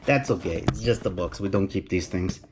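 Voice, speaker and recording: A man's voice: low voice, moderate pace, background noise. A middle-aged man from Romania with a Romanian accent, chatting enthusiastically to viewers as he unboxes a knife. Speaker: knife collector vlogger. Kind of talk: casual unboxing vlog.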